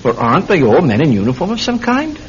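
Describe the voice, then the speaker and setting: Animated, inquisitive young nephew, old radio. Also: male voice, fast. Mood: tentative, keen. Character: eager, inquisitive young nephew, amateur sleuth. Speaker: actor in a radio play. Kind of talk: classic radio drama acting. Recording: dull, rumbling, noisy old radio recording.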